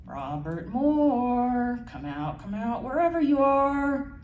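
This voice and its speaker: A woman's voice: fairly high pitch, sing-song, slow pace, darkish tone, pitched above the performer's own voice. A woman voicing an irritable woman in her twenties, grumbling with exasperation, recorded in a roomy space. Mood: sing-song impatience. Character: irritable, impatient young Southern-town woman in her twenties. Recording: roomy, distant mic, faint noise.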